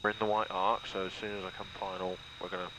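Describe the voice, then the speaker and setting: A man's voice: low-pitched, level tone, quick pace. A British man, a student pilot, narrating a vlog and commentating from the cockpit in an informal way. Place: small microlight aircraft cockpit. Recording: engine and cockpit noise.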